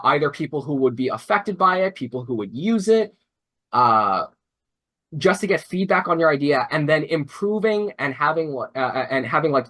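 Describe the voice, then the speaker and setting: A man's voice: fairly high pitch, bright, forceful tone. A young man mentoring robotics teams, explaining casually on a video call, rambling with many fillers and restarts. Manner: rambling, full of "like" and "uh", self-correcting.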